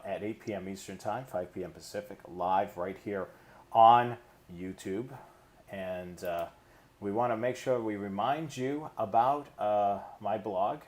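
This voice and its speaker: A man co-hosting a hobby live stream in a casual, informal, halting presenting style. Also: on the low side, a little mellow.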